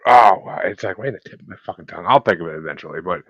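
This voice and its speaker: A man co-hosting a podcast in casual chat, halting and searching for words, with some swearing. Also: deep voice, animated, a bit bright, breathy edge, no room sound, muffled recording. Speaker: podcast co-host.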